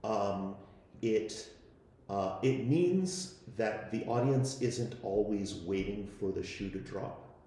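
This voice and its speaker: A man speaking off the cuff on a panel, conversational and halting, often repeating himself. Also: fairly low pitch, lively intonation, warm tone. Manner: halting, conversational, frequent repetition. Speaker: panelist from a podcast team. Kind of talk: unscripted panel discussion. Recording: very echoey room.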